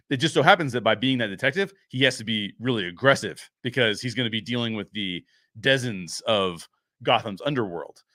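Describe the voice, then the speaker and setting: A man's voice: medium pitch, pushed and bright, no echo, clean studio sound. A man hosting a pop-culture show, giving conversational film commentary in an emphatic, argumentative way, explaining through rhetorical questions.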